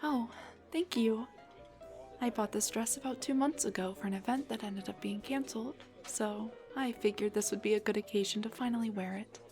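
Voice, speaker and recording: A woman's voice: a little high, normal speed, a little mellow, soft background music, natural voice. A woman playing a shy, nervous dullahan on a blind date in an intimate audio roleplay, speaking in a flustered, hesitant, rambling way. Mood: shy, pleased. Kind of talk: intimate scripted audio roleplay addressed to the listener.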